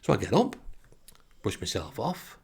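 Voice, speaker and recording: A man's voice: fairly low pitch, huge pitch swings, moderate pace, creaky, breathy edge. A man with a Northern English accent telling a humorous first-person anecdote in a wry, self-deprecating way. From Northern England. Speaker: comic storyteller.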